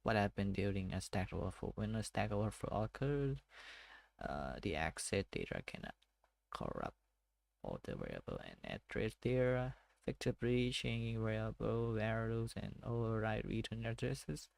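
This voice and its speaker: A Thai-accented man works slowly through technical notes and reads passages aloud between hesitant asides. His voice has a gravelly edge, and the recording is dry and close, with no room sound. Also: lowish voice, animated, no echo. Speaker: tech study vlogger. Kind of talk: casual self-study screen walkthrough.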